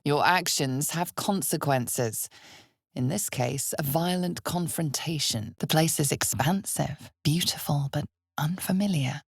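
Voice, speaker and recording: A woman playing the ominous, knowing narrator of a dark fantasy game, measured and deliberate, with a husky, breathy voice. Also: deep voice, no room sound, clean studio sound, exaggerated voice.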